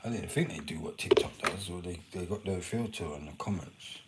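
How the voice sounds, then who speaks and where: A man's voice: deep voice, brisk, heavy background noise. A man casually chatting and rambling to his viewers on a live stream, swearing now and then. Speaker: livestreamer.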